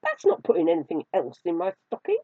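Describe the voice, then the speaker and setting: A woman's voice: mid-range voice, huge pitch swings, measured pace, mellow, breathy edge, no room sound, voice pushed up, full character voice. Woman playing a haughty, swooping storybook kitten. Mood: scornful. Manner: scornful, teasing, indignant. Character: haughty, teasing male kitten, easily cross. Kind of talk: children's storybook reading. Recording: tinny, phone-like narrow band.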